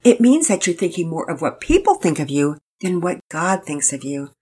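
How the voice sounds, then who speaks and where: A woman reading a gentle, earnest mother. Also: fairly low pitch, moderate pace, breathy edge. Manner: calm, earnest, counseling. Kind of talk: storybook reading.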